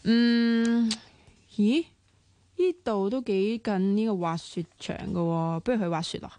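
A woman's voice: medium pitch, unhurried, warm tone, natural voice. An actress playing a cheeky, grumbling young woman in a radio drama, with a Hong Kong Cantonese accent. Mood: playful. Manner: teasing, petulant.

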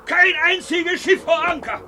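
Hoarse old sea captain. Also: male voice, very high voice, fairly even intonation, a little slow, sharp tone, a little hiss or hum, exaggerated voice. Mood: bawling, outraged. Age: older. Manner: bawling, emphatic, salty storytelling. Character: grizzled, blustering grey-haired old merchant sea captain. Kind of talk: 1970s German radio drama acting.

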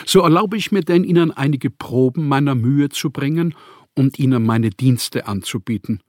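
An actor playing a wry, proud, bitter writer recalling his life in a literary radio play, speaking in measured, formal phrases. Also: mid-range voice, darkish tone, dry recording, studio quality, natural voice. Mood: pleading, formal.

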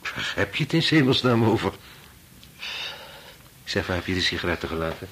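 An actor playing a calm, sensible husband in a radio play, speaking in a measured, matter-of-fact way with gentle wryness. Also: male voice, sits low, slightly rough, exaggerated voice. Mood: wry, soothing. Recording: noisy old radio play recording.

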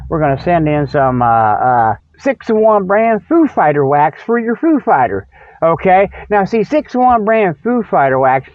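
An American man hosting a solo comedy show in a folksy, rambling, repetitive way, drifting between digressions and mock sales pitches. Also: no room sound. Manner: folksy, digressive, repetitive, loses his thread. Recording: muffled, thin phone-like radio sound.

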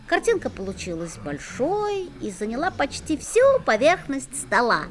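A mischievous schoolboy narrator. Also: female voice, fairly high pitch, wildly swooping pitch, normal speed, crowd noise. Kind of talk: radio play narration. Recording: music playing behind.

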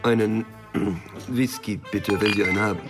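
An actor playing a cynical, nervy small-time cocaine dealer in a German crime radio drama, laconic and sardonic with slangy talk. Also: male voice, fairly low pitch, fairly even intonation, measured pace, over music, lightly acted. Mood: cool, sardonic.

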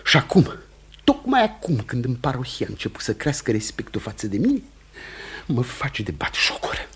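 Anxious bossy puritan preacher uncle, radio theatre, really breathy husky voice, swoops up and down, pleading and grilling. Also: male voice, mid-range voice, huge pitch swings, full character voice. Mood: anguished, accusing. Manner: fretful, urgent, imploring, then interrogating. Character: anxious, domineering middle-aged Puritan pastor, stern uncle. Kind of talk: theatrical radio drama acting.

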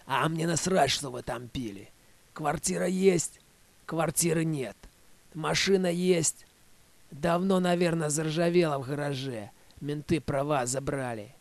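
A man's voice: on the high side, measured pace, gravelly, no room sound. A man voicing a scornful woman in her thirties who nags her man with blunt, scolding questions in an audio play. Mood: angry, berating.

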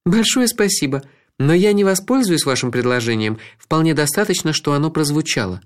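Brief: a male reader putting on a high, lively woman's voice, teasing and battle-worn, for an audiobook. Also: a little fast, lightly acted. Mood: amused, friendly. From Croatia. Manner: teasing, matter-of-fact, stoic. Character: wry red-haired woman, warm but battle-worn. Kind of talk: audiobook character voice.

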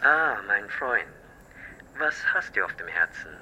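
An actor playing a controlling crime boss with a patronizing manner in a radio crime drama. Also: male voice, lowish voice, sing-song, measured pace, pushed and bright, highs cut off, heavily acted. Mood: smug control.

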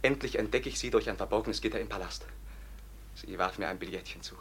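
A man's voice: lowish voice, fast talker, slight background noise, lightly acted. An actor playing a passionate, wronged young Bohemian nobleman in a radio play, declaiming with earnest desperation. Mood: agitated storytelling. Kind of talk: classic German radio play acting.

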